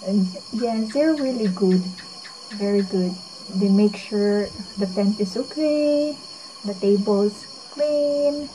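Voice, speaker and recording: A woman's voice: medium pitch, slow, slight background noise, poor audio. A young woman vlogging in casual, upbeat chat from an outdoor hilltop campsite, with a tendency to repeat herself. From Philippines. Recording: loud crickets and insects behind.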